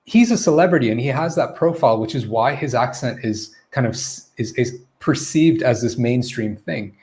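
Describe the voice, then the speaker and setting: Male English coach, middle pitch, casual live-stream delivery, chatty, self-correcting. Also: darkish tone. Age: adult, former teacher.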